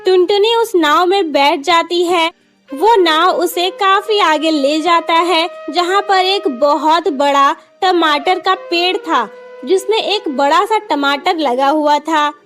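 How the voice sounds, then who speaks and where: A woman's voice: very high voice, slow pace, fairly bright tone, soft background music. A woman narrating a scripted children's cartoon story.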